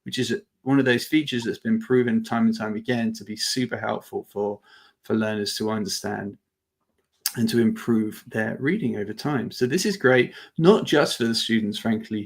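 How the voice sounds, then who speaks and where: A man presenting an informal live product demo as a webinar host, with halting phrasing and repeated words. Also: fairly low pitch, steady tone, gravelly.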